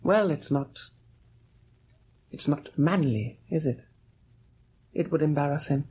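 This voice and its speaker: An actor playing a weak, gentle husband of about thirty in a radio drama, husky and halting, with a plaintive, weary manner. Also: male voice, on the high side. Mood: hesitant. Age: 30s. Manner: halting, plaintive, self-doubting. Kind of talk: old radio drama acting.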